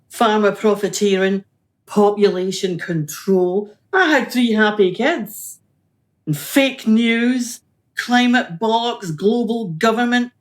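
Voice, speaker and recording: An actress as a defiant female activist in a radio play. She is bitter, sardonic and combative. Her mid-range voice moves at a very slow, deliberate pace. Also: medium pitch, close to an everyday voice. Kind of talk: radio drama acting.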